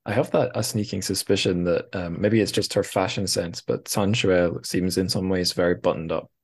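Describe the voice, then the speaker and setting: A man's voice: sits low, steady tone, moderate pace. A man hosting a casual interview podcast, musing aloud with hesitant, tentative phrasing. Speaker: podcast host.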